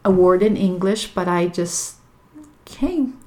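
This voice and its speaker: A woman with a Brazilian Portuguese accent, a podcast guest telling her life story in a thoughtful, unhurried way. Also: lowish voice, warm tone. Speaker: guest, clinical social worker. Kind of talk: reflective personal storytelling. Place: living room of a home. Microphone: table microphone.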